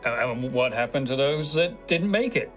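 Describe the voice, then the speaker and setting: A man improvising casually as a player in a tabletop roleplaying game, speaking in a halting way. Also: mid-range voice, animated. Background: music.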